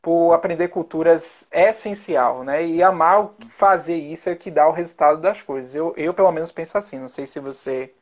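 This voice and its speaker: A man's voice: a little high, a little fast, few pauses. A chatty male interviewer keeps the talk loose and friendly. He strings his thoughts together with frequent tag questions to his guest. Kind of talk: casual interview chat.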